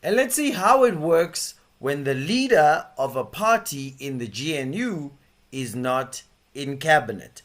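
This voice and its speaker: A man's voice: a little high, lively intonation, unhurried, slight background noise. A man hosting political commentary, setting up a clip with a pointed question. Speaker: host of a political commentary channel. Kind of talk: commentary narration.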